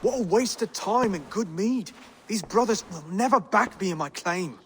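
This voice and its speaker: An actor playing an earnest, polite Saxon lord who tries to keep the peace in a video game. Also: male voice, sits high, lively intonation, moderate pace, fairly bright tone, a little hiss or hum. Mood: dejected. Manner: formal, polite.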